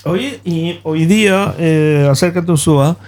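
A man's voice: on the high side, takes it slowly, a little mellow. A man hosting a radio show, interviewing guests conversationally at a studio microphone. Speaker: radio host.

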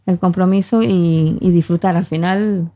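A woman's voice: lowish voice, fairly even intonation, a little slow, warm tone. A woman chatting casually and conversationally in a radio studio. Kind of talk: casual chat.

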